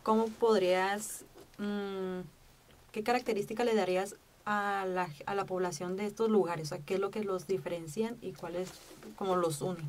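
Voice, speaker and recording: A woman's voice: medium pitch, fairly even intonation, normal speed, a little hiss or hum. A woman co-hosting a student podcast who asks questions in a hesitant way, searching for her words. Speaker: podcast co-host. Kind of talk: casual conversation. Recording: distant from the mic.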